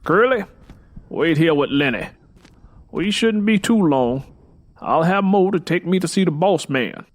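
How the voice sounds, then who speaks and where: A man's voice: fairly high pitch, expressive, a little slow, pauses often, voice pushed up. Man voicing an assured older country woman. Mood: brisk, reassuring. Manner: folksy, assured. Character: older, take-charge, nurturing country woman.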